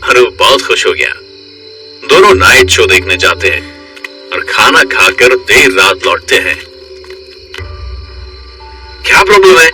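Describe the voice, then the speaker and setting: A man's voice: fairly low pitch, expressive, measured pace. A man reading scripted horror stories as a dramatic narrator. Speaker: story narrator. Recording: loud music bed, slightly distant voice.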